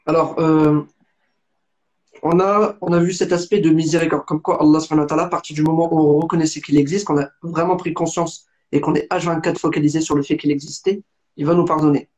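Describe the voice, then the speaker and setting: A man's voice: fairly high pitch, flat delivery, brisk, darkish tone. A man in his twenties asking a long, rambling question and searching for his words. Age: 20s. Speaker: interviewer. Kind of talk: conversational religious discussion.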